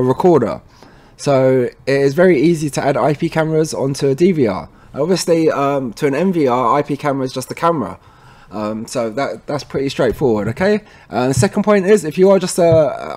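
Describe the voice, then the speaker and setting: A man presenting a technical tutorial in an informal way, talking viewers through each step and often checking in with a quick tag word. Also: on the high side, darkish tone. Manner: informal, addresses viewers as guys, frequent tag okay. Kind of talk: casual screen-recorded how-to walkthrough.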